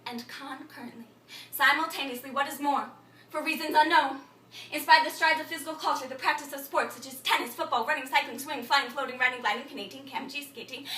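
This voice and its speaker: A woman playing a frantic, babbling thinker in an absurdist stage monologue, incantatory and repetitive, swinging between muttering and shouting. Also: high voice. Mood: rambling, urgent. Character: frantic, babbling thinker reciting a broken learned tirade. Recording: echoey room, distant microphone.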